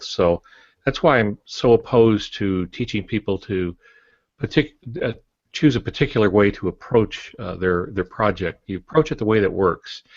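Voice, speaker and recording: A man's voice: lowish voice, no echo, lo-fi sound. An older man co-hosting a podcast, talking casually and giving advice like a teacher in a panel discussion. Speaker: podcast co-host and writing teacher.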